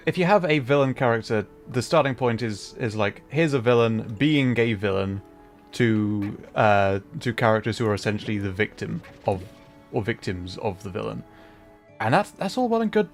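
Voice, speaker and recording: Man chatting casually over game music. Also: medium pitch. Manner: hesitant, thinking aloud, rambling explanations. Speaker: streamer. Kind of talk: casual livestream chat while gaming.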